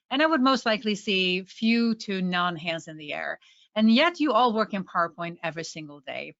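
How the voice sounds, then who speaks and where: A woman's voice: mid-range voice, fairly bright tone, lo-fi sound. A woman from Sweden with a Swedish accent, giving an enthusiastic, friendly webinar presentation as a trainer.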